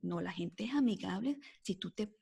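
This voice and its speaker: Gravelly Venezuelan woman telling her story. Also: medium pitch, moderate pace. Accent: mixed Venezuelan Spanish, softened by years in the US. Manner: animated storyteller, frequent fillers like "este" and "o sea". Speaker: guest. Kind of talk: casual storytelling interview.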